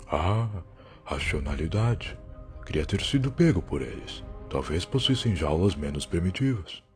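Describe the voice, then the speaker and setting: A Brazilian man playing a weary, defiant alien time-traveler in an audio drama, husky and gravelly, with a brooding, sarcastic, theatrical delivery. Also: low voice, very animated, measured pace, faint music behind. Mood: sardonic. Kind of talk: dramatic first-person audio drama narration.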